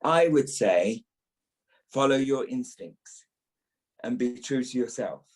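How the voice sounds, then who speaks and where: A man's voice: medium pitch, animated, takes it slowly, rough recording. A middle-aged or older British man, an actor being interviewed, talking reflectively and repeating phrases as he thinks.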